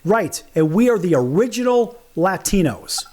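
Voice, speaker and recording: A man hosting a sports talk show, animated and firing off rhetorical questions. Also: sits high, moderate pace. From United States. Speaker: host.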